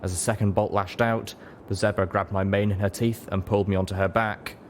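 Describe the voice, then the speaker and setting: A man's voice: fairly low pitch, little pitch movement, a little fast, slight background noise. A man reading an audiobook, giving scripted fiction a dramatic narration. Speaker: audiobook reader.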